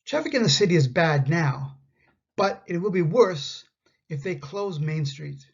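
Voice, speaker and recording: Husky Canadian man teaching English. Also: a little high, expressive, muffled recording. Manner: slow, carefully enunciated example sentences. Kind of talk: scripted grammar lesson.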